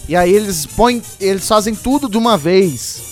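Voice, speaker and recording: A Brazilian man tells relaxed stories on a podcast and lists things off one after another. His voice sits fairly high, with faint music under it. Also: moderate pace. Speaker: podcast co-host. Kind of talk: casual podcast storytelling.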